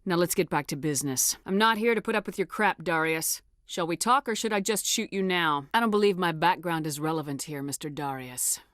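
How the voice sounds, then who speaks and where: Actress as female military commander, lowish voice, resolute, blunt, authoritative, clipped delivery, dry close sound, video game dialogue. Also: a little fast, hardly any pauses, fairly bright tone, no room sound, close to an everyday voice. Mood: threatening, scornful.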